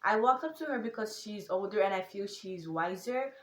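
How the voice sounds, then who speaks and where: A woman's voice: medium pitch, animated, normal speed, a bit bright, roomy sound. A teenage girl from Nigeria with a Nigerian accent tinged with British and American, speaking earnestly and emphatically in a casual vlog. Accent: Nigerian, with some British and American. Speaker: content creator.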